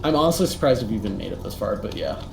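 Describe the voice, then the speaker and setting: A teenage boy chatting casually as co-host, rambling and animated with lots of fillers. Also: lowish voice, fast, gravelly. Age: teens. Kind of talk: casual chat. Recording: echoey room, faint noise.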